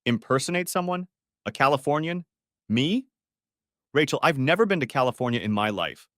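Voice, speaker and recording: A joking young husband who protests lightly and keeps things playful, as the narrator voices him. His voice is a bit raised and lively in pitch. The reading is dry and close. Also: moderate pace, dry recording. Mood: protesting.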